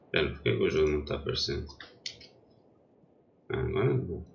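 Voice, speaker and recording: Congested gravelly-voiced young man. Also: extremely low pitch, expressive, fast talker, lo-fi sound. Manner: hesitant, distracted while setting up. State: congested from a cold. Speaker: streamer. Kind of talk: casual gaming livestream chat.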